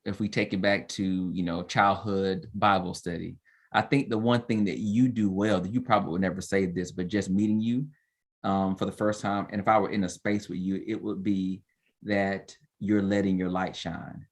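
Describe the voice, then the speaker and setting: A man's voice: low voice, steady tone, moderate pace. A man hosting a podcast, asking open questions in an encouraging way. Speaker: podcast host. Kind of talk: interviewing, casual chat.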